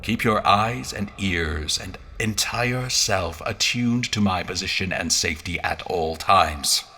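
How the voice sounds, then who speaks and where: An actor playing a stern, wary general in an audio drama, speaking with formal, guarded politeness. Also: male voice, deep voice, takes it slowly, sharp tone, a little hiss or hum, exaggerated voice. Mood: stern command. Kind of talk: dramatic audio fiction acting.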